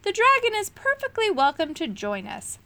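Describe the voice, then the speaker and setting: A woman's voice: extremely high pitch, wildly swooping pitch, normal speed, sharp tone, voice pushed up, full character voice. A woman reading a firm, earnest male leader.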